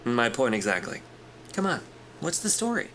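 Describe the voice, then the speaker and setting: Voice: mid-range voice, big pitch swings, moderate pace, a bit bright, slight background noise. An actor playing a glib, friendly corporate clerk in a sci-fi audio drama, breezy, wry and casual in a naturalistic style. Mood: amused, coaxing. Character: glib, teasing, friendly corporate clerk smoothing things over.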